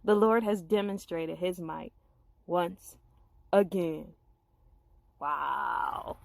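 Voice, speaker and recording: A woman telling a Bible story in a dramatized, expressive way, with informal, reacting asides. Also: lowish voice, extremely slow, gravelly, no room sound.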